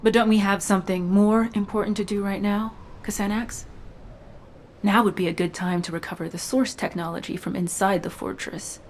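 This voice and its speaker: A woman's voice: medium pitch, fairly even intonation, moderate pace, close to an everyday voice. Actress as a firm, hardened woman soldier, noisy ambience. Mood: firm, pressing. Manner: firm, businesslike, determined. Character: hardened vengeful female soldier, determined, no-nonsense. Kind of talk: video game voice acting.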